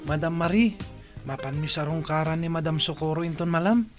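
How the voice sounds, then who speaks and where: A man's voice: fairly high pitch, brisk, faint music behind, lightly acted. An actor playing a friendly, polite and sociable male student in a radio drama.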